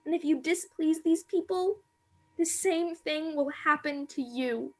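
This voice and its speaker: Very high, girlish woman playing a timid captive maid. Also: measured pace, a little mellow. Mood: hushed horror. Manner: plaintive, girlish, naive. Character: timid, sorrowful young captive maid, innocent and fearful. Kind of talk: radio play acting. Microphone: video call.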